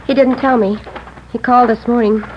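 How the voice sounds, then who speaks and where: Through a noisy, dull old radio recording comes an actress playing a worried sister. Her voice is fairly high and subdued. She speaks in short, hesitant phrases, in plain radio-drama style. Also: steady tone, fairly quick, close to an everyday voice. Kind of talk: 1950s radio drama acting.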